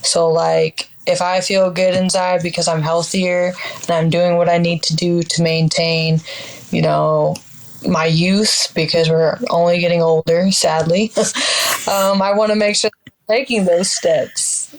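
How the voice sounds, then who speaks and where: A woman's voice: lowish voice, level tone, unhurried, poor audio. A woman in her twenties, a podcast guest, talking in a reflective, upbeat, casual way with many fillers. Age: 20s. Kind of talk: casual interview chat.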